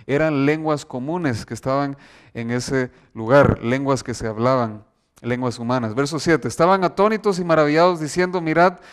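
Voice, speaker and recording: Male preacher, emphatic, fairly high pitch, leans hard on key words. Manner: emphatic, reads scripture aloud with rising stress. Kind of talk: sermon.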